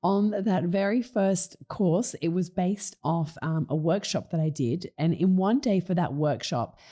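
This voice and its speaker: A middle-aged woman hosting her own podcast, talking in a casual, enthusiastic way with a slightly croaky voice and plenty of fillers. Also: fairly low pitch, dark tone. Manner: enthusiastic, conversational with many fillers. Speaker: podcast host. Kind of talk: casual solo podcast talk.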